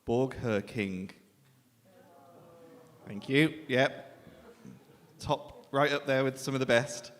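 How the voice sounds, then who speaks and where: A man's voice: medium pitch, slow pace, pauses often, fairly bright tone. A man hosting a live literary event, making informal, joking, self-deprecating announcements between acts. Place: indoor live event venue in a reverberant hall. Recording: echoey hall with clear background noise.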